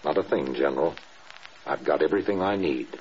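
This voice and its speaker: A man's voice: a bass voice, a little fast, a little mellow. An American man acting a stoic injured colonel in a mid-century radio drama, speaking with dutiful understatement. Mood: subdued, stoic. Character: stoic injured male colonel in hospital bed. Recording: muffled old radio with faint noise.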